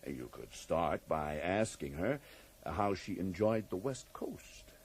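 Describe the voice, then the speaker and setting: An actor playing a cagey, drily amused federal agent in a radio drama, speaking with polite, evasive hesitations. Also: male voice, deep voice, big pitch swings, takes it slowly, a little hiss or hum, exaggerated voice. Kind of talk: 1980s radio drama acting.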